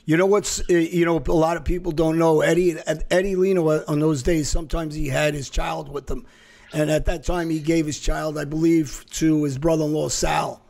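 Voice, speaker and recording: Gravelly-voiced blunt male host. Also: on the high side, flat delivery, moderate pace, a little mellow. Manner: blunt, teasing, direct. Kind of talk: casual interview talk.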